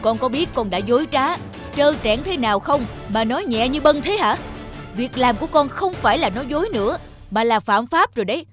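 A man's voice: extremely high pitch, expressive, fairly quick, a bit bright. Cartoon dad role: middle-aged policeman, strict and proud, emphatic delivery over music. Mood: stern, angry. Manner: emphatic, lecturing. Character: stern middle-aged police officer father, proud, later softening. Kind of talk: animated moral drama acting.

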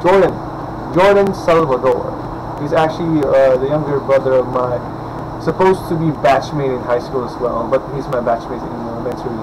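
A young man from the Philippines, hoarse from just waking up, rambling casually to his webcam at home with lots of fillers. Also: mid-range voice, normal speed, noisy background. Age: young adult. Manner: rambling, chatty, many fillers and restarts. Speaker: vlogger. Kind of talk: casual vlog talk to camera. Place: indoors at home, small room. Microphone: webcam at desk distance.

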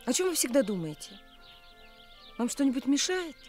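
A woman's voice: high voice, big pitch swings, normal speed, a little mellow, soft background music. An actress playing a capricious, teasing, bored young provincial woman in a radio play, mocking and imperious. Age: young adult.

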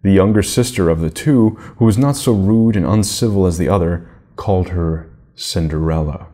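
A middle-aged man narrating a children's fairy tale in a measured, deliberate manner. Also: deep voice, mellow. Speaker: storybook reader.

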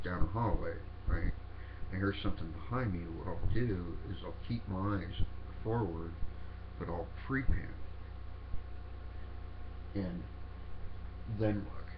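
A man with a cold, sounding sniffly, explaining ghost-hunting camera habits in a casual, halting way full of fillers and restarts. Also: low voice. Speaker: paranormal video host. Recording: dull lo-fi recording with steady noise.

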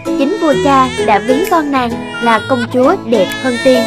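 A woman's voice: high-pitched, unhurried, over loud music. A woman reading scripted fairy-tale narration as a storyteller.

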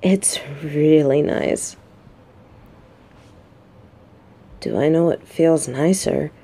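Female soldier bodyguard for romance audio played by a woman: mellow, slow, halting delivery, tough front over a bashful, smitten heart. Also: lowish voice, gravelly, husky, heavily acted. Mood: shy, content. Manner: halting, flustered, soft-spoken. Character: adult female military bodyguard, gruff but shy, secretly lovestruck. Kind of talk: scripted romantic audio roleplay.

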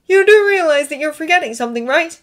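A woman's voice: very high voice, expressive, brisk, a bit bright, pitched above the performer's own voice. A woman voicing an exasperated, argumentative teenage boy in a dramatized story reading, emphatic and insistent. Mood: exasperated, impatient.

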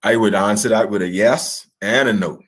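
A man's voice: lowish voice, moderate pace, a bit bright, breathy edge. A man in his thirties with a Bahamian accent, explaining emphatically as an interview guest and checking in with rhetorical questions. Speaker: guest historian. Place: indoors, in front of a draped backdrop, on a video call. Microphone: video call.

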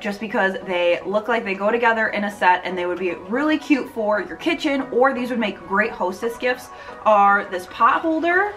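A woman's voice: medium pitch, a bit bright. A woman in her thirties chatting casually and enthusiastically, gushing her way through a shopping haul as a vlogger. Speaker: home decor vlogger.

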